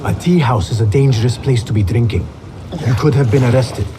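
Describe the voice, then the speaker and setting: An actor playing a calm, watchful young male assassin in a video game, speaking in an even, measured way. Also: medium pitch, noisy background, close to an everyday voice. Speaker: game protagonist.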